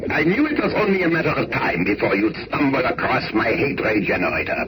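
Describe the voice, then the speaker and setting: A man's voice: medium pitch, very animated, fairly quick, lightly acted. A man as a grandiose masked radio villain, noisy tape. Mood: gloating. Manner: grandiose, commanding. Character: masked megalomaniac male villain, menacing and gloating. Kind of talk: 1970s superhero radio drama acting. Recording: very noisy old radio broadcast.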